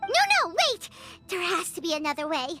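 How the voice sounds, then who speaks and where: A woman voicing a tiny, excitable childlike fairy companion in an anime video game, earnest, anxious and emphatic. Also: extremely high pitch, moderate pace, heavily acted. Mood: distressed, protesting. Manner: emphatic, exclamatory, refers to self in third person. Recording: loud music under the voice.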